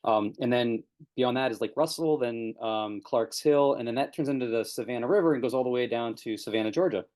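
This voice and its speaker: A man's voice: medium pitch, brisk, a little mellow. A man with a mid-range voice hosting a podcast over a video call. He talks in a loose, chatty way and laughs easily between questions. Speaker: podcast host. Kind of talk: casual video-call interview.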